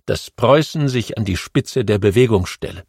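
A man's voice: lowish voice, animated, moderate pace, creaky, a little mellow, breathy edge, no echo, studio quality, full character voice. A man voicing a male state minister who reads out a royal decree in a raised, solemn, emphatic voice. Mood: proclaiming.

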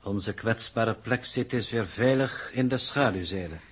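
A man's voice: fairly low pitch, unhurried, lightly acted. Unflappable, formal space coordinator acted by a man. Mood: detached, calm. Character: iron-tough, imperturbable male space mission coordinator. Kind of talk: 1960s radio drama acting. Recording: slightly noisy 1960s radio recording.

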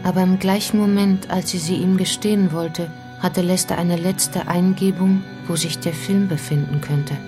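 An actress as the grave narrator of a radio play mystery, telling it in a measured, suspenseful way. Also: female voice, fairly low pitch, fairly even intonation, natural voice. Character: grave female storyteller of a mystery adventure. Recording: music under the voice.

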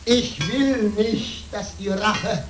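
A man playing a resolute, grey-haired old monk in a radio play, speaking in a solemn, archaic, measured manner. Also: high-pitched, background noise. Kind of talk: German radio play acting.

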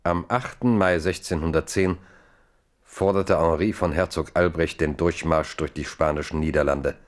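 Low-voiced solemn male narrator. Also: steady tone, normal speed. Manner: formal, solemn, chronicle-like. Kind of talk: scripted literary narration in a radio play.

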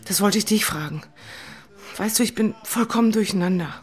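An actress playing a moody, emotional woman in her forties in a radio drama, with a husky voice. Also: medium pitch, fairly quick, lightly acted. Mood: confused. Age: 40s. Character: emotional, moody single woman in love affair. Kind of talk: German radio drama dialogue.

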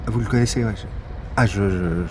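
An actor playing a weary, dry-humored police investigator in a naturalistic audio drama, speaking in a hesitant, understated way. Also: male voice, lowish voice, fairly quick, darkish tone, slight background noise, natural voice. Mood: awkward, polite. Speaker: actor in a fiction podcast.

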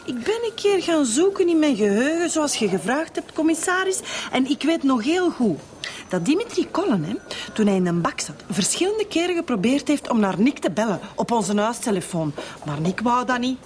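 A woman's voice: high voice, sing-song, moderate pace, darkish tone, a little hiss or hum. An actress with a Flemish accent playing a brash, chatty, self-satisfied mother in a radio drama, colloquial and cheeky. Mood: cheeky, proud.

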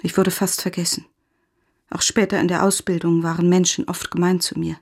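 A lonely, hurt schoolteacher with a breathy, husky voice. She speaks deliberately and formally, full of self-pity, in a radio drama monologue. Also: on the low side, little pitch movement, darkish tone. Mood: bitter, sorrowful. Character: lonely, wounded woman teacher composing her farewell letter. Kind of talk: German radio play monologue.

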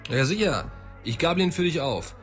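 An actor playing a coarse, swaggering, vengeful but loyal gang tough in radio drama dialogue. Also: male voice, on the high side, sing-song, normal speed, soft background music. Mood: boisterous.

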